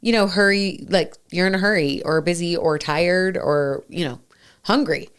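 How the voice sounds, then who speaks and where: Smooth-voiced woman co-hosting a podcast. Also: on the low side, normal speed. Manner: reflective, agreeing with quiet backchannels. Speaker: podcast co-host. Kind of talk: casual conversational podcast.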